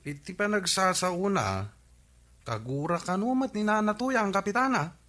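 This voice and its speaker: A man playing an excitable villager who eagerly reports on the neighbors in a radio comedy drama, with an Ilocano accent. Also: high voice, a little slow. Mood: excited, reporting.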